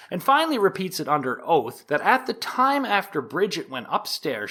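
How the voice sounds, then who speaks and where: A nineteenth-century prosecutor addressing a jury in a courtroom audio drama. He is a solemn, accusatory figure with a lively, widely moving pitch. His oratory is formal and deliberate, rising firmly on the points he wants to press. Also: heavily acted. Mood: measured, grave. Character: 1890s male prosecuting attorney, solemn, insistent, accusatory. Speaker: actor in an audio theatre production. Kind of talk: dramatized courtroom opening statement.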